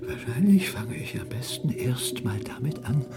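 A woman playing an innocent, bewildered newborn whale in a radio drama, curious and halting as it searches for words. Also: rumbling low, animated, whispered, over loud music, heavily acted. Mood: curious, searching.

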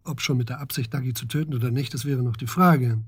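Male actor as earnest investigator, husky, close dry studio sound, pressing, deliberate, radio play. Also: mid-range voice, dry recording, close to an everyday voice. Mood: pressing, worried. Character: grave, pressing male investigator confronting his friend. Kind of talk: German radio drama acting.

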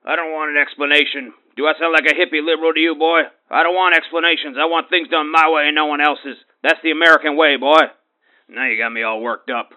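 A man's voice: a little high, steady tone, fast talker, few pauses, close to an everyday voice. Guy doing a pompous angry redneck caller, ranting and threatening, muffled lo-fi line. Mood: indignant. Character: bigoted blustering middle-aged male radio caller.

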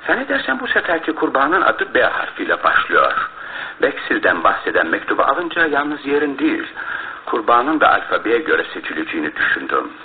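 A man's voice: medium pitch, wildly swooping pitch, a little fast. A man playing a shrewd, courteous detective with a theatrical, questioning manner in a radio drama. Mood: explanatory. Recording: tinny, noisy old radio drama recording.

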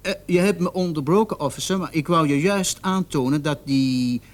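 A stubborn young man under police questioning played by a male actor, with a fairly high voice. He is insistent and easily indignant, hesitating and repeating himself when pressed, in radio drama style. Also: normal speed, close to an everyday voice. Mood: reasoning, careful.

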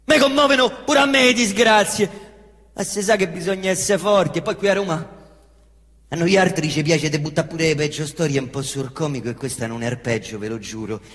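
A man acting out a brash young killer from the Roman outskirts, talking in swaggering slang with a thick Roman accent. His voice sits high and flips from defiant bursts to low mutters. A long theatre reverb trails behind every phrase. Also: fairly quick, fairly bright tone, close to an everyday voice. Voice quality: slangy, swaggering street talk. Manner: swings between defiant outbursts and low muttering. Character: young male Roman slum youth, cocky killer. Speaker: stage actor in a monologue. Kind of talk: theatrical monologue. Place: theatre stage.

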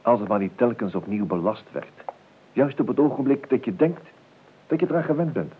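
An actor playing an idle, whimsical gentleman heir in a radio play, talkative and hesitant, repeating his words. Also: medium pitch, lively intonation, a little fast, natural voice. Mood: rambling. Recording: muffled phone-like old radio recording, hiss.

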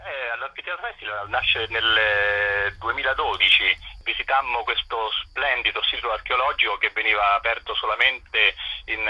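A man giving an informal radio interview by telephone as a festival director, with halting, deliberate phrasing. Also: lowish voice, pushed and bright, dull audio.

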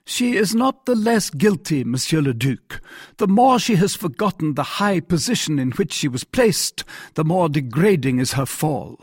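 Storybook king, voiced by a male reader: jealous, imperious, high and swooping, indignant, husky edge, dry sound. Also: expressive, normal speed, no echo. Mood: jealous, insistent. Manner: heated, insistent, indignant outbursts. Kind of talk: dramatised classic novel reading.

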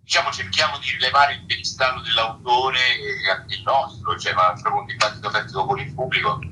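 A man's voice: medium pitch, fairly even intonation, a little fast, sharp tone, slight background noise, rough recording. A man chatting casually as a theatre guest in a radio interview.

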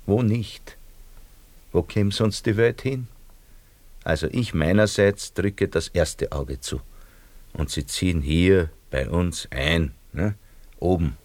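A fawning, self-pitying Austrian shopkeeper and father in a radio drama. His voice sits fairly low in a dry studio sound, and his Austrian-accented talk rambles theatrically, always angling for advantage. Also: slow pace, long pauses, a little mellow, dry recording. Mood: insistent, wheedling. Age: middle-aged.

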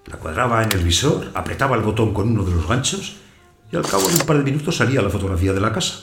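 Actor as a deep-voiced, echoey householder narrator. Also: male voice, expressive, fast talker, creaky. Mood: brooding. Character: wary, brooding male householder narrating his own story. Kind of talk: scripted first-person audio drama narration. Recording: echoey room sound, music behind.

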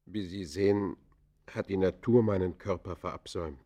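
An actor playing an embittered outcast poacher in a German radio play, confessing in formal, declamatory phrases with dramatic swells and hushed falls. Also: male voice, low voice, normal speed. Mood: bitter, confessional. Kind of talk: German radio play acting, literary monologue.

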